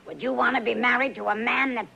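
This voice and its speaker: A woman's voice: fairly high pitch, fairly even intonation, fast, creaky, sharp tone, breathy edge, full character voice. An actress playing a bold, bossy, middle-aged frontier woman in a 1950s radio western, slinging folksy insults. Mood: indignant. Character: brash, fiery frontier woman who never backs down. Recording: old radio recording with background hiss.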